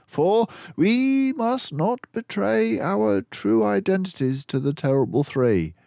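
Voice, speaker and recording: A man voicing a brisk, confident, jaunty gentleman detective mouse from a children's storybook, with theatrical self-assurance. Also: high voice, no echo, pitched above the performer's own voice, heavily acted.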